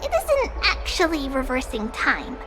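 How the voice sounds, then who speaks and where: A woman's voice: sits high, wildly swooping pitch, a little slow, noisy background, heavily acted. A woman voicing a cheerful, earnest little girl prophet in a video game. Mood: earnest, explaining.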